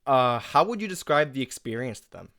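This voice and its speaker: A man's voice: medium pitch, big pitch swings, a little fast, gravelly, fairly bright tone, no room sound. A man hosts a casual interview in a clean, resonant voice. His phrasing is informal and a little hesitant as he talks as a creator. The sound is dry, with no room to it. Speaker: interviewer and audio drama creator.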